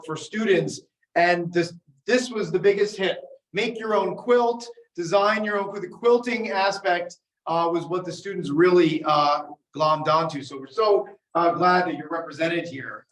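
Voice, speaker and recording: High-voiced older man hosting in an echoey room. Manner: warm, rambling, thanks guests. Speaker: panel host, professor. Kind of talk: hosting a panel discussion. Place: exhibition gallery. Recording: roomy gallery echo.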